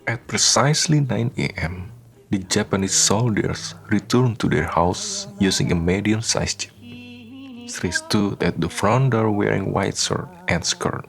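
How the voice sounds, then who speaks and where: Low, gravelly male drama narrator. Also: sing-song, measured pace, faint music behind. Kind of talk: scripted radio drama narration.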